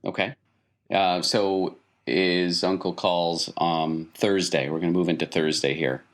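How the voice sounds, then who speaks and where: Low-voiced man running a tabletop game. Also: level tone, a little slow. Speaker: game master.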